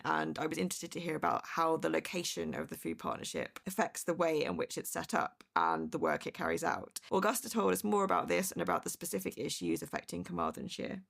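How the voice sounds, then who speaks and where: Podcast host woman with gravelly fry. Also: lowish voice. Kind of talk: scripted podcast narration.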